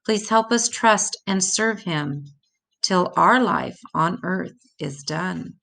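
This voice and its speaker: An older woman reads a children's picture book aloud as a storyteller. Her voice sits slightly low for a woman. She takes the verse very slowly, phrasing each line deliberately for young listeners. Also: creaky, a bit bright. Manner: measured, deliberate read-aloud delivery. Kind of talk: scripted children's story reading in rhymed verse.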